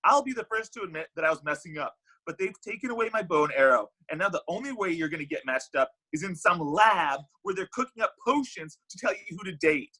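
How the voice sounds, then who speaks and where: A man playing an indignant, needy underdog matchmaker, emphatic and plaintive, with a husky voice, in a comedy read. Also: fairly high pitch, animated, fast, hardly any pauses, sharp tone, heavily acted, rough recording. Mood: indignant, rallying. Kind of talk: comedy script table read.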